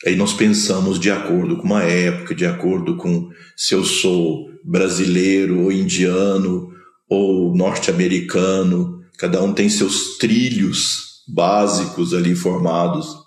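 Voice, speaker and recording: A man from Minas Gerais, Brazil, speaking with a Minas Gerais accent, gives a slow, measured spiritual lecture, pausing between short phrases. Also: low-pitched, little pitch movement, echoey room. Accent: Brazilian Portuguese, Minas Gerais. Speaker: spiritual teacher. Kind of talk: spoken lecture on scripture.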